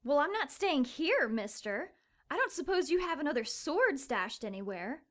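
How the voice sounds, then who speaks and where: A plucky young woman in an audio drama. Her voice sits fairly high, and she is questioning and doubtful, yet game. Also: big pitch swings, normal speed, fairly bright tone. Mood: determined.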